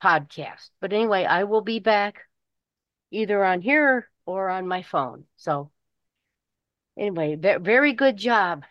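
A woman's voice: mid-range voice, expressive, measured pace, no echo. A woman chatting casually on an online meeting call. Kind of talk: casual chat. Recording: dry, dull online call audio.

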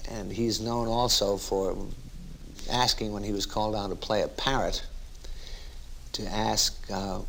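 A man telling anecdotes in a measured, reminiscing way, like a raconteur. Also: lowish voice, vocal fry, a bit bright, slight background noise, low-quality recording. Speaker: interviewee recalling colleagues in radio.

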